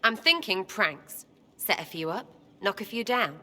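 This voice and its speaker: Mischievous young english rebel girl for a game, really animated and sharp, slangy, big echo. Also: mid-range voice, a little slow. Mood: restless, scheming. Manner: brash, slangy, impish. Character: cheeky, irreverent, mischievous young woman rebel prankster. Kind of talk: video game character acting.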